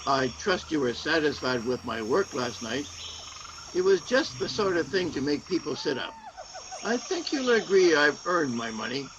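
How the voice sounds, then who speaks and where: Male actor as uneasy, mercenary henchman, radio play, slightly raised pitch, hesitant, on a dull stream. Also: big pitch swings, normal speed, noisy background. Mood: self-satisfied. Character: uneasy, money-minded male saboteur.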